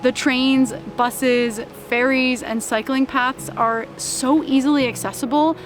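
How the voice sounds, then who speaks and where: Calm woman narrating over music. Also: sits high, takes it slowly. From Canada. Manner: calm, heartfelt, with small laughs. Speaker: vlogger.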